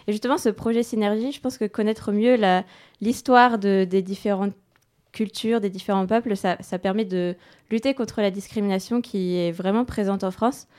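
A woman's voice: medium pitch, steady tone, moderate pace, darkish tone. A young woman hosting a radio programme, presenting conversationally with informal, hesitant links. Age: young adult.

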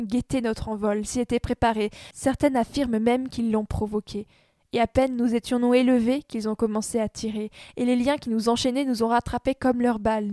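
Even-toned, mellow woman narrator. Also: a little high, no echo, clean studio sound. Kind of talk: scripted literary narration.

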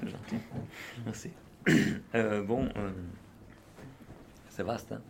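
A man's voice: fairly low pitch, fairly even intonation, takes it slowly, vocal fry, background noise. An older man from France, speaking unscripted and hesitantly, with many fillers and restarts. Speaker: activist speaker. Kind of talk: unscripted spoken reflection. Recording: faint background ambience.

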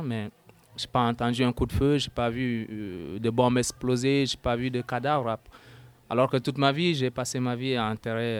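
A young man in his twenties from Sudan speaks in a smooth, resonant voice at a mid pitch. He talks haltingly, pausing to search for words, and presses harder when something angers him. His tone is earnest and personal, the way a guest speaks in an interview. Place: at a housewarming gathering.

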